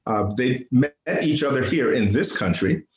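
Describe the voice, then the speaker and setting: A man's voice: mid-range voice, moderate pace, lo-fi sound. Older New man in an echoey room. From New York City, United States. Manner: animated, repeats phrases for emphasis. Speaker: podcast guest, artist. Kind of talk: casual personal storytelling. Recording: roomy, echoing interview sound.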